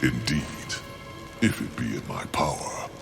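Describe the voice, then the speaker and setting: Very deep, grandiose angel commander. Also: male voice, animated, unhurried, gravelly, full character voice. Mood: solemn, bargaining. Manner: theatrical, solemn, formal. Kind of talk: video game voice acting. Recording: music behind.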